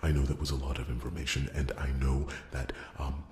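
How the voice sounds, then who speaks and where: Very deep, stammering, soft-spoken fantasy blacksmith played by a man. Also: flat delivery, fairly quick, echoey room, slight background noise. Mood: nervous, overexcited. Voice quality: soft, breathy, often dropping to a whisper. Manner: hesitant, stammering, rambling, apologetic. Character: nervous, rambling, beer-loving male blacksmith in fantasy realm. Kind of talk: ASMR fantasy roleplay monologue, soft-spoken.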